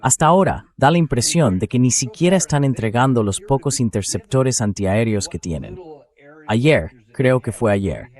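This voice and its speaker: A man's voice: on the low side, darkish tone. A man's synthetic voice dubbing an interview host in political commentary, reading conversational speech aloud. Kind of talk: AI-read dubbed political commentary.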